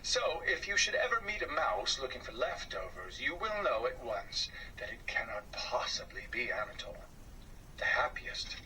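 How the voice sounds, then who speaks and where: A man reading a children's book aloud as a storyteller, expressive and dropping to a hush at times, heard on a distant mic with faint background noise. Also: mid-range voice, moderate pace.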